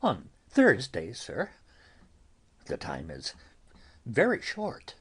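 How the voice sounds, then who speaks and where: A man playing a grave, uneasy older friar in a Shakespearean dramatic reading, halting and deliberate. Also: medium pitch, wildly swooping pitch, fairly bright tone, husky, full character voice.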